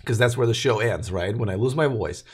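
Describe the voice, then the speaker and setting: A man's voice: on the low side, brisk, husky. Tired man hosting a rambling livestream. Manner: rambling, many fillers and restarts. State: tired, voice wearing out. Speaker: host of an electric car news livestream. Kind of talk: casual live chat, reading viewer comments.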